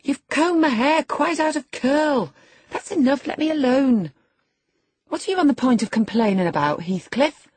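Woman doing a peevish posh teen girl for an audiobook, pitched way up, kinda husky, petulant and evasive. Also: expressive, moderate pace, warm tone. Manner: petulant, stammering when flustered. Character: peevish, evasive teenage girl of genteel household.

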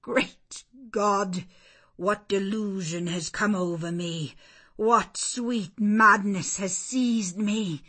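A woman voicing a blind, brooding middle-aged gentleman in a story reading, with imperious and impassioned demands. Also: mid-range voice, takes it slowly. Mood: anguished, frantic.

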